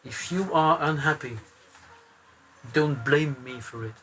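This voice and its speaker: A man recites a verse slowly and deliberately, his voice a little high for a man. Faint background noise runs under him.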